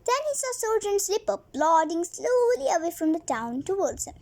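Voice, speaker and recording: A woman's voice: extremely high pitch, sing-song, moderate pace, darkish tone. A young girl hosting a read-along, reading a storybook aloud expressively and chatting to viewers. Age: child. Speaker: host of a read-along video.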